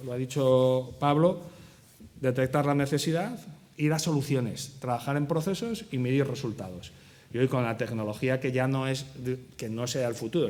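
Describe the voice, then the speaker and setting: Guy on a panel, steady emphatic talk, average pitch. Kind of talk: panel discussion.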